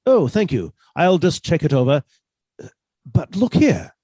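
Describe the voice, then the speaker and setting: A man's voice: on the high side, wildly swooping pitch, measured pace, mellow, breathy edge, no room sound, clean studio sound, heavily acted. A man playing a mannerly, henpecked professor who is secretly a scheming killer, with formal old-fashioned phrasing, in a radio suspense play. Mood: guarded, polite. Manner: formal, old-fashioned turns of phrase, hesitant when flustered.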